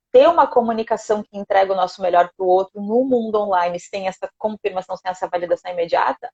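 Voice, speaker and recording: A woman's voice: mid-range voice, vocal fry, husky. Methodical woman on a dull-sounding call. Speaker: communication mentor, guest. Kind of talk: explanatory conversation.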